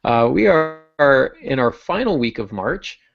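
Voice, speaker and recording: A man hosting a podcast in casual discussion, thinking aloud in a halting way. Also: mid-range voice, big pitch swings, vocal fry, lo-fi sound. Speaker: podcast host.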